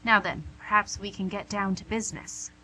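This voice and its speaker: A woman's voice: mid-range voice, fairly even intonation, moderate pace, sharp tone, husky, rough recording. A woman playing a suave female devil boss in an amateur radio comedy, pedantic, condescending and mock-polite. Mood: smug, commanding. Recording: amateur radio recording with hum, noise.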